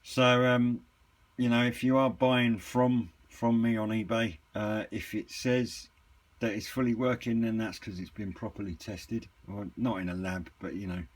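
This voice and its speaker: A man giving a casual, unscripted product walkthrough in a hesitant, rambling, matter-of-fact way, with a slightly husky voice. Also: on the low side, flat delivery, measured pace. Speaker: hobbyist reviewer and seller of used CB radios.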